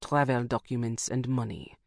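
Spy in a fedora with a heavy French accent, low, slow and curt, audiobook reading, narrator doing the voice. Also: male voice, fairly even intonation, creaky, no room sound, clean studio sound, close to an everyday voice. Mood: terse. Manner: clipped, curt. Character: gruff Frenchman in trench coat, terse spy. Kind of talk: audiobook character voice.

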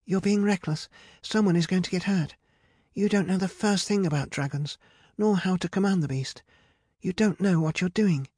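A man's voice: on the high side, fairly even intonation, normal speed, mellow, slightly rough, no echo, studio quality, voice pushed up. A man voicing a cautious, scolding young woman, an insistent elder sister in a fantasy storybook.

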